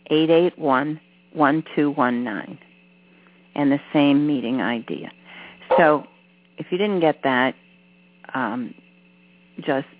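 A woman hosting a call-in radio show, reading out announcements at an unhurried pace. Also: deep voice. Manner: unhurried, reading out numbers slowly. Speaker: host. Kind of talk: casual radio chat with announcements. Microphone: telephone. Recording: phone-line audio with mains hum.

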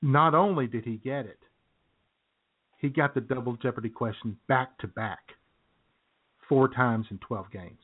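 A man's voice: medium pitch, measured pace, pauses often, slightly rough. Chatty man on a tinny phone line. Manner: chatty, rambling storyteller with chuckles. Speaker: radio show co-host. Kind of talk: casual banter.

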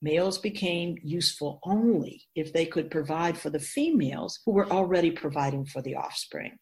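A woman playing a wise otherworldly spirit in a radio drama, explaining things patiently in a measured, knowing way. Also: deep voice, lively intonation, darkish tone. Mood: didactic. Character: Keeper of Souls, wise otherworldly spirit in female voice.

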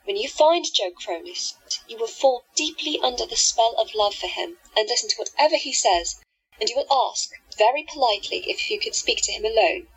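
Woman doing a high soft musical enchantress, kinda husky, sweet but totally manipulative, radio play. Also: expressive, normal speed, muffled recording, faint music behind, exaggerated voice. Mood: coaxing, commanding. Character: sweetly manipulative woman hypnotist, seductive and playful. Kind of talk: audio drama acting.

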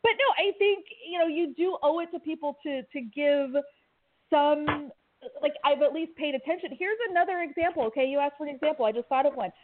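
Woman from Kansas, animated and chatty guest, slightly high voice, phone-like tinny sound. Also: a little fast. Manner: animated, chatty, laughs easily. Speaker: tech recruiter guest. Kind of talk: casual podcast chat.

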